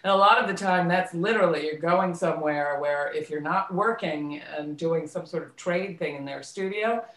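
A woman's voice: fairly low pitch, normal speed, fairly bright tone. An American woman telling her life story in a casual interview, reflective and often correcting herself as she goes. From United States, suburbs of Washington DC, then California. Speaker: interview guest, theater actress and director. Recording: roomy, echoey sound.

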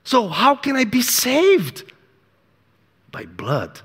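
Slow non-native preacher in a reverberant hall. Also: male voice, huge pitch swings, a bit bright, husky. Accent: non-native English. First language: not English. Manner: dramatic, acts out the devil's voice in low tones. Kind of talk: sermon.

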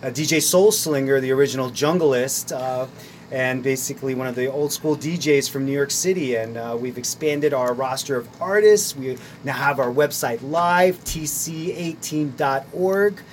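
A man's voice: medium pitch, normal speed, slight background noise. A man speaking as a community arts organizer on a radio show, talking with enthusiasm and many "uh" fillers. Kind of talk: casual radio interview.

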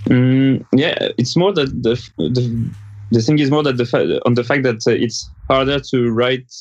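A French-accented man pauses and gropes for the right words as he answers, his thoughts coming out in halting pieces. His voice sits at an ordinary middle pitch and arrives dull and lo-fi, as if over a video call. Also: dry recording. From France. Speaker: guest musician. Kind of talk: remote interview answers.

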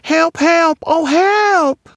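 A small, childlike girl creature from a dark storybook, acted out by a male narrator. The voice sits high and swoops around widely, with a creaky edge as it taunts, pleads and wails in fear. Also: big pitch swings, measured pace, dry recording, clean studio sound, full character voice. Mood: terrified wailing.

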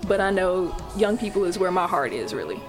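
A woman's voice: lowish voice, sing-song, fairly quick, over music. Reflective young woman, college guest. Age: 20s. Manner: hesitant, reflective, with fillers. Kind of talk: casual chat.

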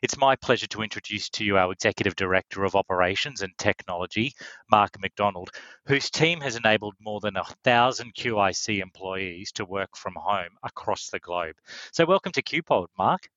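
A man's voice: fairly low pitch, lively intonation, moderate pace, sharp tone, dry recording, dull audio. A man hosting a company podcast, polished and upbeat, reading a scripted introduction and asking questions. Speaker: podcast host, marketing manager.

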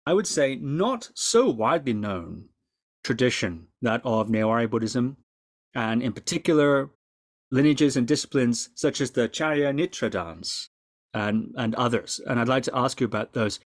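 A man hosting an interview podcast, speaking in measured, courteous and formally worded sentences. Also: mid-range voice, expressive, no echo, studio quality. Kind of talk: scripted introduction, then interview questions.

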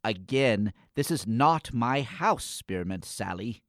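Actor as pompous gloomy schoolboy, slow, theatrical, lively pitch, dry close sound, radio comedy. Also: male voice, no echo, full character voice. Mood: exasperated. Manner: wordy, theatrically deliberate. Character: gloomy, exasperated, pompous schoolboy straight man. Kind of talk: comic radio play acting.

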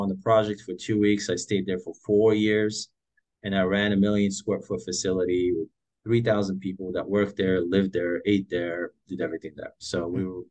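A man's voice: sits low, poor audio. A man in his forties from Morocco, telling his career story as an interview guest with many fillers and restarts. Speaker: guest entrepreneur.

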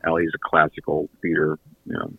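A man chatting casually as a guest in a radio interview, halting as he searches for his words. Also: rumbling low, monotone, vocal fry, slightly rough. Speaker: guest, audio theater creator and writer. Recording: echoey, tinny phone-line connection.

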